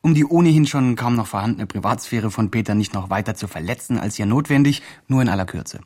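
Earnest online charity promoter, radio drama. Lively swings in pitch, slightly rough voice, emphatic and self-justifying. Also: male voice, fast talker. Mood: proud, narrating. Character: earnest male promoter presenting a homeless man's online campaign. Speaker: presenter of an online fundraising campaign. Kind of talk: scripted radio drama, mock video-blog narration.

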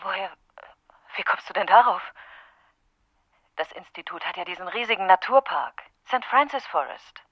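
A woman's voice: medium pitch, animated, moderate pace, pauses often, a bit bright, no room sound, rough recording. An actress playing an evasive, reassuring woman in a radio drama.

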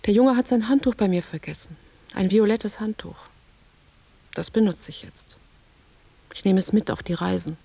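Creaky, melancholy young woman. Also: medium pitch, normal speed, pauses often, dark tone, no room sound. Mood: wounded, resolute. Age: young adult. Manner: introspective, poetic monologue. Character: young woman, melancholy, dreamy, stubbornly independent. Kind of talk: literary radio play, inner monologue.

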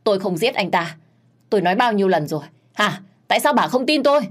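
A woman voicing a frightened young woman who pleads and shouts her innocence. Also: medium pitch, lively intonation, quick pace, voice pushed up, lightly acted. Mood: frightened defiance. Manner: pleading, defiant, shouting back. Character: terrified young woman accused of murder.

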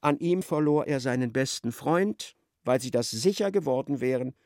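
An actor narrating a literary radio play as a wry, expressive storyteller. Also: male voice, mid-range voice, normal speed, dry recording, studio quality, natural voice.

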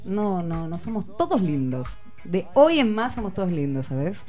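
A woman's voice: lowish voice, very animated, moderate pace, dark tone, faint music behind. A woman with an Argentine accent hosting a school radio show, coaxing children with encouraging questions. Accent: Argentine Rioplatense Spanish. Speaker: radio host talking with schoolchildren. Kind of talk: casual classroom radio chat.

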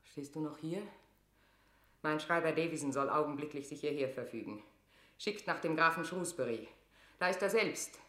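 A scheming, wrathful queen of England in classical radio drama. Her low voice has a bright, forceful edge and a regal, declamatory delivery. The room adds noticeable echo around her. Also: moderate pace. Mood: regal, commanding. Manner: declamatory, regal, swinging from whisper to outburst. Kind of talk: classical German stage verse in radio drama.

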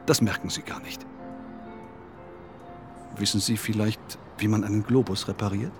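An actor in a radio play as a morbid, clinging, sleepless man, brooding and insistent, often hushed. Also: lowish voice, steady tone, moderate pace, lightly acted. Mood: insistent, eerie. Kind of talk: intimate radio play monologue. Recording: studio radio drama, faint music.

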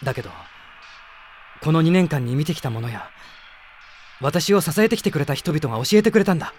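A man's voice: on the high side, animated, brisk, darkish tone, slight background noise, close to an everyday voice. Earnest young prince acted by a man. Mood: earnest pleading. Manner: formal, sincere, intense. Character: earnest, idealistic young prince leading an army. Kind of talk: radio drama acting. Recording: music behind the voice.

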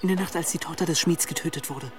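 A man's voice: fairly high pitch, fast, background noise, close to an everyday voice. A man playing an anxious, guilt-ridden young monk in a German radio play, hesitant and pleading. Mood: cornered, stammering.

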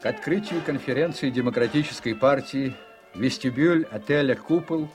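A man narrating a radio play from a script in a storytelling manner, with a husky voice. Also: medium pitch, moderate pace, darkish tone, soft background music.

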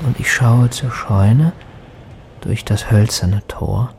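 Rain and thunder surround a haunted man who speaks extremely slowly. His hushed, dreamlike radio drama monologue comes in halting fragments, pitched on the low side. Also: creaky, noisy background. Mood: haunted, entranced. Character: haunted man recalling a strange stormy night.

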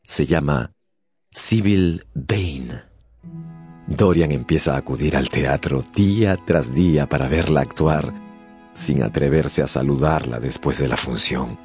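A man narrating a radio drama as a scripted story, theatrically and with deliberate pauses. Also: extremely low pitch, a little mellow. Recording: music behind the voice.

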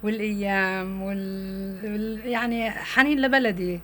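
A middle-aged woman from Syria with a rural Syrian accent, a guest in a radio interview who talks haltingly and earnestly, searching for words. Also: mid-range voice, fairly even intonation, a bit bright, a little hiss or hum. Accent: rural Syrian Arabic.

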